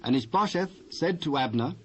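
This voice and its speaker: A British man narrating dramatised scripture in a measured, formal storytelling manner. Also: fairly high pitch, lively intonation. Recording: dull, hissy old cassette transfer.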